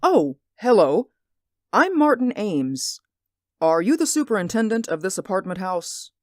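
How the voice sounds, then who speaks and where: A woman's voice: medium pitch, very animated, unhurried, a little mellow, no echo. A woman playing an anxious, insistent man in a radio drama, speaking earnestly and emphatically. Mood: earnest, uneasy. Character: anxious, insistent male stage actor spying on neighbours.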